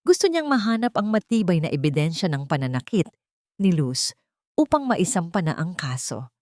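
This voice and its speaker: A woman's voice: fairly low pitch, very animated, moderate pace, darkish tone, dry recording, studio quality. A woman narrating a scripted audio drama in a solemn, literary storytelling manner.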